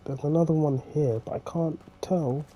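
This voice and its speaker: Congested man with a dark voice. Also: fairly high pitch, normal speed, a little hiss or hum, low-quality recording. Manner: relaxed, talks to himself. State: congested with a cold. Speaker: gaming streamer. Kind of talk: casual gameplay commentary.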